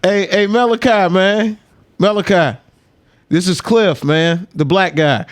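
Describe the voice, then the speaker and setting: A man's voice: high-pitched, slow pace. A man in his late thirties, a comedian guesting on a podcast, chatting casually with lots of slang. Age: late 30s.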